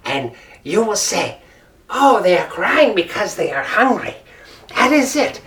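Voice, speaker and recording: A woman's voice: very animated, a little slow, fairly bright tone, far from the mic. A woman voicing a sly, scheming male jackal who boasts and cackles in a raised voice. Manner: high, cunning, laughing.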